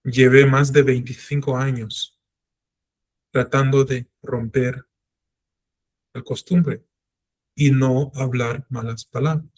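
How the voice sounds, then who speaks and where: A man's voice: medium pitch, fairly even intonation, slow pace, pauses often. A middle-aged man teaching a Torah class in an earnest, self-reflective way, drawing on his own life. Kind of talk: religious class lecture.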